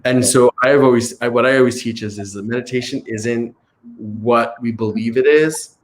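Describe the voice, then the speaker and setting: A man born in Chicago whose first language was Italian, a guest who teaches meditation, talking in a relaxed way full of "like" fillers. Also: mid-range voice, moderate pace, rough recording. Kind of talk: casual conversational interview.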